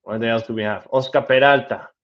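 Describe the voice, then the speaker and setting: A man talks in an easygoing, chatty way, reading viewer comments aloud and answering them with small restarts. His voice sits at an ordinary middle pitch. The recording sounds a little dull and lo-fi. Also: steady tone, brisk. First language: Danish. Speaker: travel vlogger answering viewer questions. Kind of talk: casual live Q&A, switching between English and Spanish.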